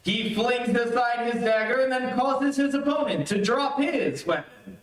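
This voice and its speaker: A man's voice: very high voice, a little slow. A man reading scripted narration for an old-time radio adventure serial. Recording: very echoey, distant microphone.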